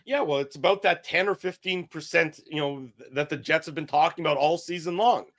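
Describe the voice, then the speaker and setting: A man co-hosting a hockey talk show, laying out his analysis in a measured way. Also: a little high, fairly bright tone. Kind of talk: casual sports talk.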